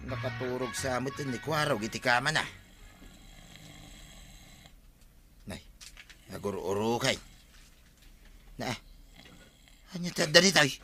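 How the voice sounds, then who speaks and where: A man's voice: mid-range voice, pushed and bright. An actor with an Ilocano accent playing a casual, talkative male neighbour in a comedy drama. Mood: exclamatory.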